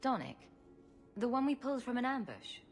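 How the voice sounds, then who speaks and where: A woman's voice: fairly high pitch, very animated, moderate pace, faint music behind. A woman playing a wry, sarcastic rogue adventurer in a video game, with a dry, flippant delivery. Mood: questioning, direct. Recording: clear ambient noise behind voice.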